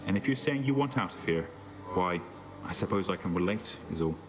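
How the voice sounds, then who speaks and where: An affable, eternally punished laborer who stays cheerful about it. His low voice is easygoing and folksy, with music clearly playing behind him in a video game. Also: animated, brisk. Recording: music under the voice.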